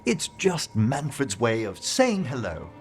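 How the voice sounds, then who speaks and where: An actor playing a gentle, earnest scholar of necromancy in a video game, speaking formally and precisely. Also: male voice, mid-range voice, huge pitch swings, slow pace, faint music behind, full character voice. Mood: fond, musing. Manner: formal, precise, eloquent speech. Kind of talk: video game character acting.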